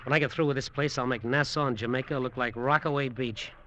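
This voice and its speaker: An actor playing a brash, cocky, short-tempered hotel developer in slangy, emphatic old-time radio drama style. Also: male voice, mid-range voice, fairly even intonation, fast talker, sharp tone, a little hiss or hum, lightly acted. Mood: boastful. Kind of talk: old-time radio drama acting with first-person narration. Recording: vintage radio drama, music behind.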